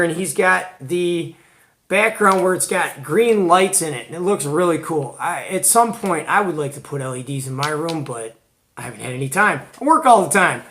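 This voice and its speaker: A man's voice: on the high side, fairly bright tone. A man from Georgia hosting a hobby show and tell, chatting casually and informally with plenty of slang. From Georgia, United States. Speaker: host of a CB radio hobby show.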